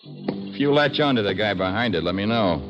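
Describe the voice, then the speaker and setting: An actor playing a guarded, hard-boiled air-freight man, gruff and slangy, in an old-time radio drama. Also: lowish voice, expressive, normal speed, over music. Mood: bitter, evasive. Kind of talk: 1940s-50s American radio drama acting. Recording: dull, noisy old radio recording with clicks.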